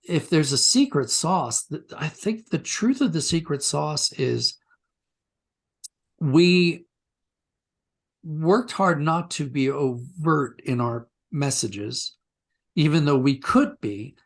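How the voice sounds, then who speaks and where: A man with a moderately high-pitched voice speaks as an interviewed writer. He is thoughtful and discursive, telling stories conversationally and often restarting a sentence to reword it. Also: lively intonation, slow. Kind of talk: conversational interview, reflective storytelling.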